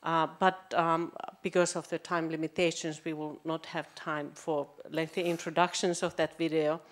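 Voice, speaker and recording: A woman moderating a conference panel, polite and slightly halting with fillers. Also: sits low, little pitch movement.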